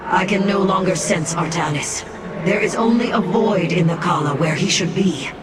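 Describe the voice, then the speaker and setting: A woman's voice: lowish voice, moderate pace, close to an everyday voice. An actress playing a commanding female alien military leader in a video game, speaking in a firm, commanding way. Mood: urgent. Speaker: game character. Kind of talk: video game cutscene voice acting. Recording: loud background noise.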